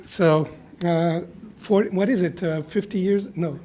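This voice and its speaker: A man with a somewhat high-pitched voice reads at a live poetry event. He speaks in a conversational, wry manner, moving easily between informal storytelling and verse. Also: fairly even intonation, a little slow, mellow. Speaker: poet reading at a reunion event.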